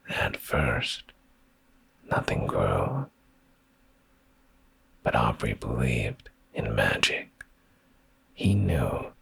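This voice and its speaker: An extremely low-voiced man reads a bedtime story as ASMR, heavy with vocal fry. He is soothing and intimate, and his hushed delivery is very breathy, often slipping into a whisper. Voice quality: very deep, breathy, gravelly. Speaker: ASMR streamer reading bedtime stories.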